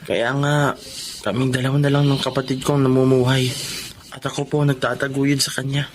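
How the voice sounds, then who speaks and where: Man acting humble young Filipino man, medium pitch. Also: flat delivery, a little fast, slight background noise, close to an everyday voice. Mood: sorrowful. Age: young adult. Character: humble, respectful young orphaned waiter and breadwinner. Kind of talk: Filipino radio drama acting.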